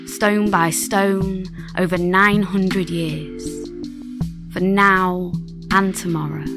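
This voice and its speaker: Slow, lowish woman reciting poetry over music. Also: steady tone. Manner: measured, rhythmic, storytelling delivery. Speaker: guest reader.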